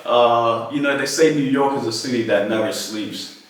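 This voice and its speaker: A man's voice: medium pitch, normal speed. A man from Lagos, Nigeria, speaking as an interview guest and telling personal stories in a conversational way. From Lagos, Nigeria until age nine. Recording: very echoey room, distant microphone.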